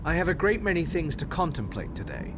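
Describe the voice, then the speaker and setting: A man's voice: fairly high pitch, very animated, a little fast, noisy background. An actor playing a haughty, impatient male Wood Elf elder in a video game, curt, pompous and scornful. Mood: scornful impatience. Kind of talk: video game quest dialogue.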